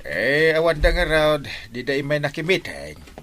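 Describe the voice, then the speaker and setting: A man acting an excitable, bossy, folksy village captain in an Ilocano comedy radio drama, with an Ilocano accent and exclamatory delivery. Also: on the high side. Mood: matter-of-fact instructing. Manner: exclamatory, starts phrases with drawn-out interjections. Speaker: lead comic character.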